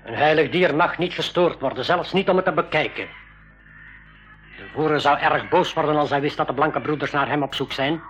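A man's voice: fairly high pitch, brisk, fairly bright tone, natural voice. An actor playing a solemn, ceremonious medicine man of a jungle tribe in a 1980s radio drama, speaking formally. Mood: solemn, wary. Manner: formal, ceremonious, stilted phrasing. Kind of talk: 1980s Dutch-language school radio drama acting. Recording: dull lo-fi radio recording with music.

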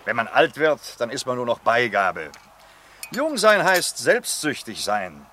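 An actor playing a genial, teasing aging aristocratic uncle in a radio play, speaking with chuckling, old-fashioned courtesy. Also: male voice, medium pitch, sing-song, unhurried, sharp tone. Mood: wry, musing. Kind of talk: German radio play acting. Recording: clear ambient noise behind voice.

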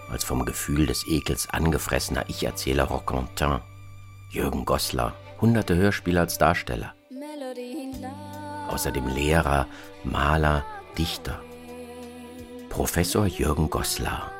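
A man presenting in a scripted documentary narration style, with a dry, sardonic manner. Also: a bass voice. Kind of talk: scripted documentary narration about radio plays. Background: music.

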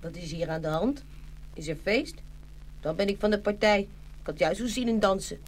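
A woman's voice: mid-range voice, moderate pace, a little hiss or hum, natural voice. A woman playing a pert but good-natured toy doll, matter-of-fact, in a children's fairy-tale audio play. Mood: curious, eager.

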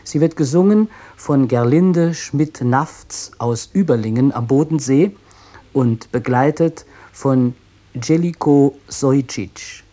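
Mellow-voiced man reading a formal introduction. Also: medium pitch. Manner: formal, measured, academic phrasing. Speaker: author introducing his radio play. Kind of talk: scripted introduction read aloud.

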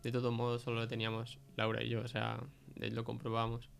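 A man's voice: mid-range voice, completely flat delivery, quick pace, creaky, smooth, soft background music, close to an everyday voice. An actor playing a rattled, defensive young man recounting a creepy stalker in hesitant, slangy Castilian Spanish. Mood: rattled, insistent. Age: young adult. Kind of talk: conversational interview in an audio fiction podcast.

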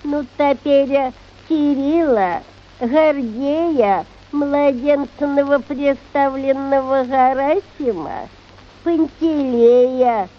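High-voiced, slow old peasant woman. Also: muffled recording. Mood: earnest dictating. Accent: rural Russian dialect. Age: older. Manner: folksy, drawn-out dictating, muddled. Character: simple, stubborn old Russian village peasant woman, pious. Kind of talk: radio play acting of a classic short story.

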